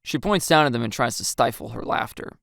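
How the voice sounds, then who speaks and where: A woman's voice: very deep voice, expressive, a little fast, gravelly, no echo, clean studio sound. A woman reading a scripted first-person story as its narrator.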